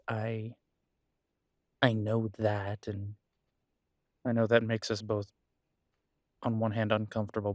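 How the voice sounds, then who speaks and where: A man acting as an earnest, protective werewolf in an intimate roleplay audio, speaking tenderly to a partner with halting pauses. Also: on the low side, no echo, studio quality. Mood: hesitant, reassuring.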